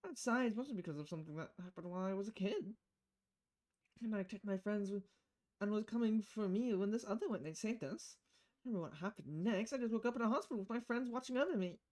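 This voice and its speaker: A woman's voice: medium pitch, animated, fast, darkish tone, close to an everyday voice. A woman voicing an earnest, modest female knight, chatting in a friendly way in a video game. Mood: earnest, reflective.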